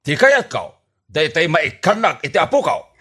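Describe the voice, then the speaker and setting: A man's voice: fairly high pitch, big pitch swings, moderate pace, pushed and bright, husky, full character voice. A man with a Filipino accent acting a scornful, blustering old father in a comedy radio drama, full of exclamations. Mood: dismissive.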